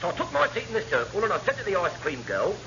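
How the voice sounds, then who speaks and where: Glib, high-pitched wily navy chief. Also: male voice, huge pitch swings, fast, full character voice. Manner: glib, mock-innocent storytelling. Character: wily chief petty officer with endless dubious alibis. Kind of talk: vintage radio comedy acting. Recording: dull, noisy old radio recording.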